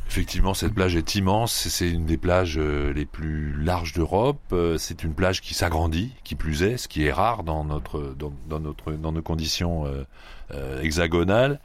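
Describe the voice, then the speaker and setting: A man from the Paris region, a club head being interviewed on radio, who pauses often with fillers and restarts. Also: extremely low pitch. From Paris region, France. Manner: hesitant, many fillers and restarts. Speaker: guest, sand yachting club head.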